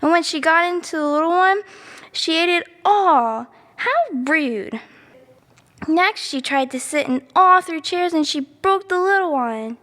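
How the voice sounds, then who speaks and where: High-voiced chatty little girl. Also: lively intonation, measured pace, a bit bright, full character voice. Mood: earnest, chatty. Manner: rambling, naive asides and self-corrections. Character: chatty, easily sidetracked little girl telling teddy a bedtime story. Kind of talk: children's storybook monologue. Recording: long reverberant room echo.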